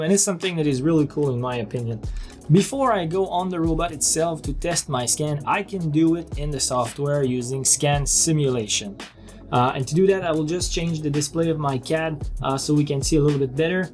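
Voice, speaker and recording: Man, slightly high voice, explanatory software demo, informal step-by-step delivery, music in the background. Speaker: software demonstrator. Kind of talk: explanatory software tutorial narration.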